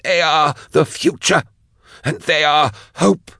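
A man voicing a tormented, devout woman captive in an audiobook, her words strained, halting and unwilling as she is forced to confess. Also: creaky, bright, forceful tone, no echo, clean studio sound, heavily acted. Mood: fervent. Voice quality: strained, high-pitched. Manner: tight, unwilling, halting, whining. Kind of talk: dramatised audiobook dialogue.

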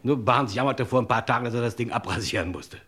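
Brisk, husky male scheming fixer. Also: mid-range voice, fairly bright tone. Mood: instructing. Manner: persuasive, matter-of-fact, takes charge. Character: brisk, scheming male friend of a Hollywood film star. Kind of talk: German radio crime drama acting.

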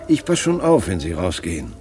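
A middle-aged married man in an old radio play with noticeable noise. His voice is fairly low and moves expressively. He is measured and protective, soothing but resigned. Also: huge pitch swings. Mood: caring. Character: calm, devout, protective husband and worried father. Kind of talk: German radio drama acting.

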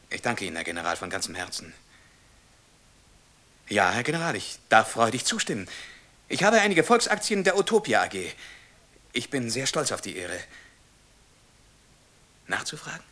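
An actor playing a servile, easily flustered state telephone clerk in a radio play, stammering and officious, quick to turn from indignation to obsequiousness. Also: male voice, fairly low pitch, sharp tone. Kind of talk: German radio play acting.

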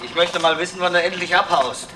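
A woman's voice: lowish voice, a little fast, lightly acted. An actress playing a hard, short-tempered woman, blunt, coarse and scolding, in a gritty radio drama. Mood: angry, scolding. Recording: very noisy background.

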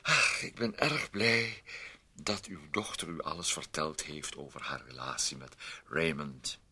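An actor in a radio play voicing an ingratiating, meddling gentleman neighbour who wheedles and repeats himself with fussy politeness. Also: a bass voice, a little slow, slightly rough, full character voice. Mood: smooth, insinuating.

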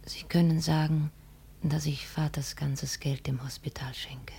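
An actress playing a young, devoted and grieving daughter in a vintage radio drama, with a husky, often whispery voice. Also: female voice, sits low, fairly even intonation, a little slow, dark tone, a little hiss or hum. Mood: subdued grief. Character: grieving, devoted daughter humouring her deluded father. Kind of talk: 1950 German radio drama acting.

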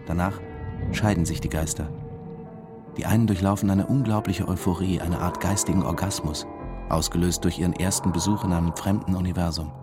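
An actor playing a detached, controlled diving instructor who narrates reflectively in a radio drama. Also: male voice, deep voice, fairly even intonation, a little fast, music in the background. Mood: matter-of-fact.

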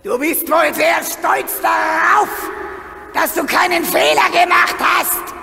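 A man playing a cruel, bullying female dragon schoolmistress in a children's radio play, with a gravelly, screechy voice and a mocking, sneering, shouting manner. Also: extremely high pitch, takes it slowly, soft background music, exaggerated voice. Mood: scolding, furious. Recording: echoey hall, noisy radio play recording.

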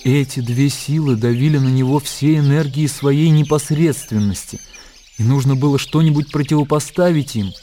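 Male narrator, soft dark timbre, medium pitch, literary storytelling, faint ambient noise. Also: moderate pace. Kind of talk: scripted literary narration in a radio play. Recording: faint birdsong and outdoor ambience.

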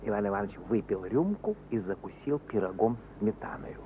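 A man's voice: fairly low pitch, big pitch swings, fairly quick, background noise. A man narrating a literary radio play in a theatrical, dramatic storytelling manner. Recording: tinny, narrow-band 1950 radio recording.

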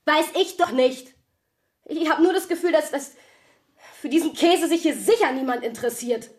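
A woman plays a restless young actress who is sick of it all. She talks bluntly and swears, in a very high voice. It is a radio drama scene. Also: moderate pace, lightly acted. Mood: defiant. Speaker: actress in an ensemble.

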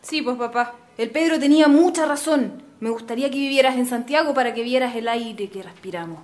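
An actress playing an earnest, idealistic young Chilean daughter of a landowner in a radio drama. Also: female voice, a little high, fairly quick, darkish tone, lightly acted. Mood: firm, indignant.

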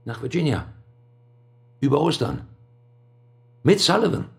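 A man's voice: fairly high pitch, very animated, measured pace, creaky, exaggerated voice. An actor playing a smug, scheming male friend who speaks in an insinuating, mocking way in a radio crime drama. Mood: sly.

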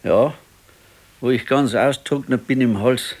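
A gloomy old husband in a naturalistic radio drama. He speaks in a medium-pitched voice with a Bavarian accent and broods and complains in plain, colloquial words, fearful and worn by age. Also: unhurried, a little mellow. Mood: querulous, wistful. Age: 60s. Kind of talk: naturalistic radio play dialogue.

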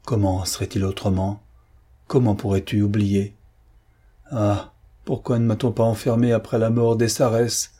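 A man voicing a tormented, half-mad old villain in a dramatic audiobook, halting and pleading, with pauses and repetitions. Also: lowish voice, level tone, dark tone, husky. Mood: sorrowful, pleading. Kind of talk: dramatic audiobook character reading.

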